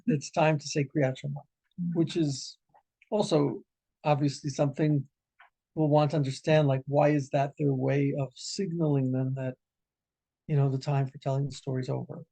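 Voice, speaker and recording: A man's voice: fairly high pitch, little pitch movement, normal speed, gravelly, mellow, poor audio. A man teaching an informal Torah class as a rabbi, talking conversationally and animatedly, often checking in with "right?".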